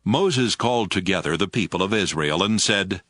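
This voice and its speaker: A man's voice: deep voice, animated, moderate pace, a bit bright, no room sound, clean studio sound. A man reading scripted narration as the narrator of a dramatized audio Bible.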